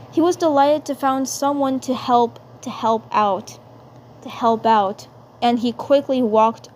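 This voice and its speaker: A woman with a high voice narrates an amateur story. She goes slowly and haltingly, restating phrases, with clear noise in the background. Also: a little mellow. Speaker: narrator and fandubber.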